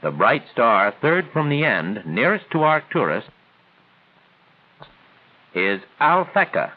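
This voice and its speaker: A man's voice: mid-range voice, big pitch swings, phone-quality sound. A man reading scripted instructional narration in a formal, didactic 1940s training film style. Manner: formal, didactic, measured phrasing. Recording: thin, band-limited 1940s film soundtrack.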